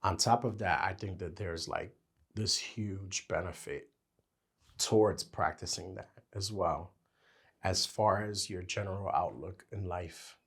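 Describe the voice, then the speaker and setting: A man with a very deep voice co-hosts a relaxed podcast chat. His voice is husky and worn because he is losing it. He finds his thoughts by talking them through. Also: a little slow. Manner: talks his thoughts out as he goes. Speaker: podcast co-host. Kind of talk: casual chat.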